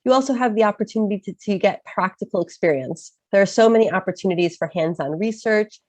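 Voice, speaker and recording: A woman's voice: medium pitch, fast, darkish tone, no room sound. A woman in her thirties from Chicago with an American accent, presenting an informational webinar while recovering from a cold, with frequent um fillers. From Chicago, USA. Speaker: study-abroad advisor, webinar presenter.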